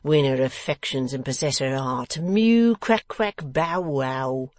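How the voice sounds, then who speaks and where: A woman voicing a blustering, contemptuous older rich man in an audiobook, loud, mocking and emphatic. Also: very deep voice, slow, no echo. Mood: mocking contempt. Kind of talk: dramatised audiobook reading.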